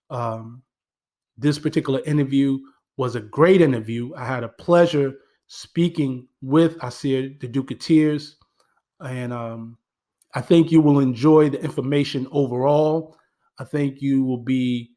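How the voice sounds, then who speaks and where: A man hosting a community radio show, introducing the episode in an earnest, steady way with frequent fillers. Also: mid-range voice.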